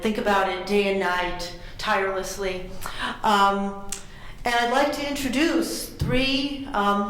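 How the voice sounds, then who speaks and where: A woman moderating a conference panel, introducing speakers briskly with some fillers. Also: medium pitch. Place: large conference room. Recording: echoey room, faint noise.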